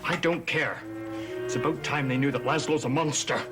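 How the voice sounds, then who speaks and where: An actor playing a bitter, hot-tempered young son in a gothic soap opera, vehement and accusing. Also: male voice, medium pitch, fairly even intonation, moderate pace, over music, lightly acted. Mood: angry.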